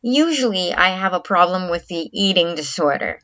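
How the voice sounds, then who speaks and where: A woman's voice: lowish voice, expressive, moderate pace, a bit bright. A woman vlogger talking candidly to camera, earnest and reflective, often stopping and restarting her sentences. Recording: dull lo-fi recording.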